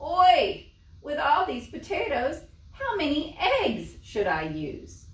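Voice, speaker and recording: A woman voicing an excitable, exasperated village wife who wails and exclaims in a children's storybook read-aloud. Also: high voice, takes it slowly, voice pushed up, heavily acted. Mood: alarmed, exasperated. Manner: wailing, exclamatory. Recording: echoey room, distant mic.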